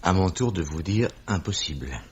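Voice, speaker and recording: An actor playing a shrewd, authoritative police commissioner, speaking formally and courteously in a 1950s-style French radio mystery. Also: male voice, low voice, expressive, slight background noise. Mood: dry, reserved. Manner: formal, measured, theatrical. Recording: dull vintage radio recording.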